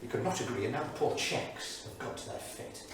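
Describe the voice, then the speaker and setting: A man's voice: mid-range voice, animated, fairly quick, close to an everyday voice. Man playing a declamatory 1930s diarist, echoey theatre. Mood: regretful. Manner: declamatory, emphatic. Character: anguished, indignant 1930s male English diarist. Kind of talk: dramatic staged reading of a journal. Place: small theatre studio. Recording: echoey theatre, distant mic, faint noise.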